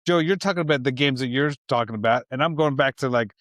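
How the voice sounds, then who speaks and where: A man's voice: medium pitch, fast talker, a bit bright, dry recording. A man in his fifties chatting on a podcast, laid-back and slangy, often breaking into laughter. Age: 50s. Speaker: podcast co-host. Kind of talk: casual chat.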